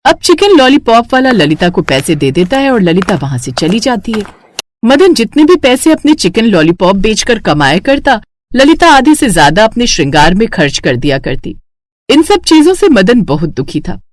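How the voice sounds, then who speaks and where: A woman narrating a scripted moral story as a storyteller, in an expressive style. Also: fairly high pitch, normal speed.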